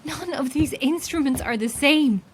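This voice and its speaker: Actress as lonely, haunted lighthouse keeper woman for horror radio play; raw, halting delivery, long reverb. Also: high voice, background noise, close to an everyday voice. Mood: frantic defiance. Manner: halting, confessional, emotionally raw. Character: anguished, guilt-ridden lonely woman lighthouse keeper, increasingly frantic. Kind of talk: immersive horror audio drama acting.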